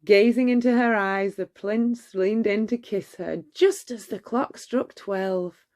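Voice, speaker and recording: A woman narrating a children's bedtime story in a measured, expressive storytelling manner. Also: medium pitch. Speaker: storybook reader.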